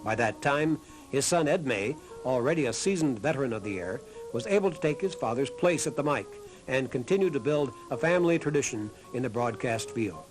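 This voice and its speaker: Guy narrating a history documentary, earnest and measured, clear music playing behind him. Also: medium pitch, animated, rough recording. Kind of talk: scripted narration.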